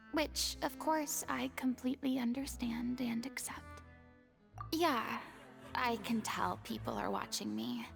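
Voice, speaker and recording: A woman voicing a remorseful, anxious young woman in a video game, soft, breathy and hesitant. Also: a little high. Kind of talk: video game cutscene dialogue. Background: faint game music.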